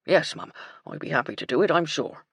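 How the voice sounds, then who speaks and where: A woman voicing a humble male groundskeeper with rough country grammar, deferential in manner. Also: sits low, brisk, no echo, full character voice. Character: rustic humble middle-aged male groundskeeper.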